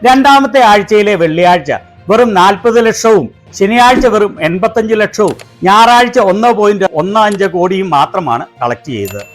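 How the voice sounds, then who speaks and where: A man's voice: sits high, lively intonation, normal speed, soft background music. A man with a Malayali accent delivering scripted, critical commentary as a video host.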